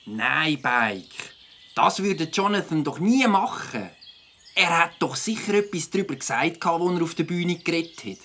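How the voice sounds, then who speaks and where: A trusting, easygoing young boy on a bike adventure, played by a woman. The voice moves in big swings of pitch, with clear birdsong and outdoor sound behind it, as in a kids' audio drama. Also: lively intonation, a little slow, a bit bright, a little hiss or hum. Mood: reassuring. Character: trusting, easygoing young male biker buddy.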